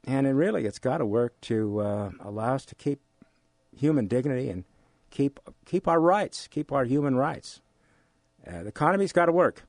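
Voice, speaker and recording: An older man with an American accent explains things in an interview, haltingly, repeating phrases for emphasis. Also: medium pitch, lively intonation, darkish tone, no echo, clean studio sound. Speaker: economics instructor giving an interview.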